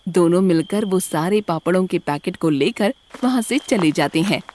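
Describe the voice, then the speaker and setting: A woman's voice: lowish voice, expressive, moderate pace, a little mellow, a little hiss or hum. A woman reading scripted story narration as a storyteller.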